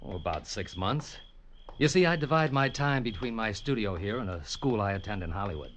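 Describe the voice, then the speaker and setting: Over faint vintage radio hiss, a courteous, slightly touchy gentleman artist. His voice is fairly low and his speech formal and precise, in 1940s radio-drama style. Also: animated, brisk. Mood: affable explaining. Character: polite, touchy male artist husband. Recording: faintly noisy old radio recording.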